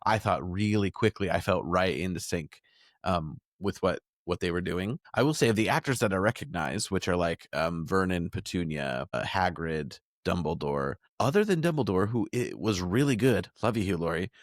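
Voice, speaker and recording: Male podcast co-host, low voice, casual conversational delivery, dry close sound. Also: expressive, moderate pace, dry recording.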